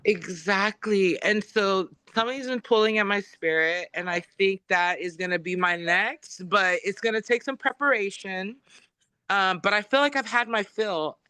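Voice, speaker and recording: Bright, smooth-voiced woman from Samoa. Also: mid-range voice, normal speed, dry recording. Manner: warm, effusive, spiritual talk with frequent fillers. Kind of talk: casual conversation.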